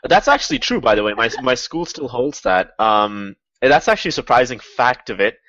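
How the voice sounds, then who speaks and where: A man's voice: medium pitch, very animated, fairly quick, fairly bright tone, no echo. A young man guest speaking in a self-deprecating, hesitant way, with many fillers, in a casual interview. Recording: dry, dull lo-fi audio.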